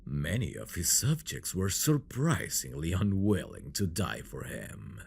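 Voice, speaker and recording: A very deep-voiced dragon prince, acted by a man, obsessive and menacing, in an intimate fantasy audio roleplay. He broods slowly and possessively, with a gravelly rasp and wide swings in intonation. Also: big pitch swings, darkish tone, a little hiss or hum, full character voice. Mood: vengeful, menacing. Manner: slow, brooding, menacing endearments, dropping into whispers. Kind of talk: ASMR roleplay, dramatic monologue.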